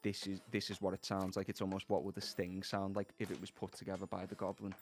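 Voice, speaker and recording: Scouse male audio designer, low voice, relaxed explainer, livestream chat. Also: quick pace, a little hiss or hum. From Liverpool, England. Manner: easygoing, explains in loose trailing phrases.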